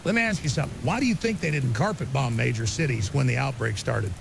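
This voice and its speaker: A man's voice: mid-range voice, fast, creaky. A man playing an angry, paranoid conspiracy broadcaster in a zombie apocalypse, delivering a defiant, accusing dramatic monologue. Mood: accusing, scornful. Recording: hissy recording with steady rain noise. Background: rain and hiss.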